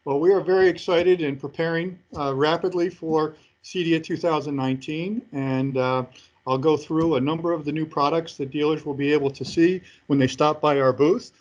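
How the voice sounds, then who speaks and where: A man giving interview answers as a company executive presenting products, speaking haltingly with frequent hesitations between phrases. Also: fairly high pitch, fairly even intonation, a little mellow, lo-fi sound.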